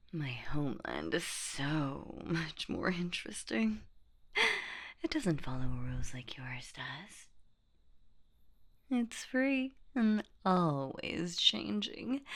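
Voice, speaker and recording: Woman voicing a slow, breathy, creaky monster seductress of horror. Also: exaggerated voice. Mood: gloating menace. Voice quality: breathy, husky whisper with creaky low notes. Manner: slow, teasing, menacing, mock-sweet. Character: sadistic, playful female boogeywoman monster hunting humans. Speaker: audio roleplay voice actor. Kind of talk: whispered horror audio roleplay monologue.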